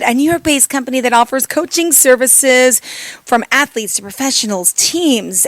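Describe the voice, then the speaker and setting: An upbeat woman hosts an interview podcast and asks her questions with enthusiasm. Her voice sits fairly high. The sound is dry and close, with no room echo. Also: a bit bright. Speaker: podcast host. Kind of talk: interview host.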